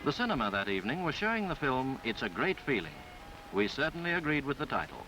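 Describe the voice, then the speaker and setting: A man reading a scripted 1950s newsreel travelogue in a formal, florid, measured way. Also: medium pitch, very animated, rough recording. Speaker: newsreel narrator. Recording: 1950s film soundtrack with background music. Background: music.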